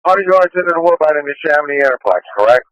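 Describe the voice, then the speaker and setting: A man's voice: fairly high pitch, lively intonation, quick pace, a bit bright, no echo. A man coordinating police units over a radio channel, relaying information to officers. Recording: dull lo-fi police scanner radio.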